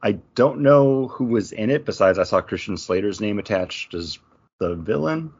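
A man's voice: lowish voice, lively intonation, normal speed, darkish tone, slightly rough, muffled recording. A man co-hosting a podcast, chatting casually about geek news. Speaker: podcast co-host.

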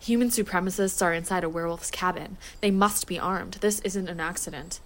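A woman's voice: lowish voice, fairly quick, close to an everyday voice. An actress playing a terse, short-tempered and protective werewolf woman in a supernatural audio drama. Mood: tense, brooding.

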